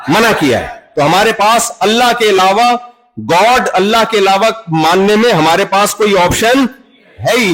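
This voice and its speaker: Man preaching a sermon in a pakistani urdu accent, chatty, plays out little back and forth scenes, voice a bit high. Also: a bit bright, poor audio. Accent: Urdu-speaking Pakistani. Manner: conversational, acts out dialogues with everyday examples. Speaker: Islamic preacher.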